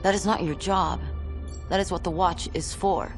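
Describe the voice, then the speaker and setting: A woman's voice: lowish voice, steady tone, moderate pace, over music, close to an everyday voice. A woman playing a stern, commanding colonel in a game cutscene, with a clipped, formal, authoritative manner. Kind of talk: scripted video game cutscene acting.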